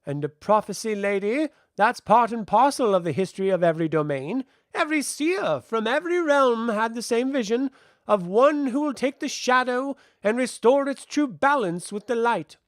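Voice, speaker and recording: Guy reading as an ancient spirit kid, high voice, calm but grand and old-fashioned, storybook fantasy, really dry sound. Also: moderate pace, no echo. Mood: solemn. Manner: infernally calm, formal archaic speech. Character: ancient elemental being in child form, calm and lofty. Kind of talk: audiobook fantasy character voice.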